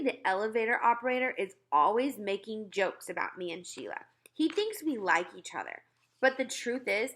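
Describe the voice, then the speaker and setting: A woman reading a children's book aloud in an expressive storytelling style. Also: medium pitch. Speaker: read-aloud reader.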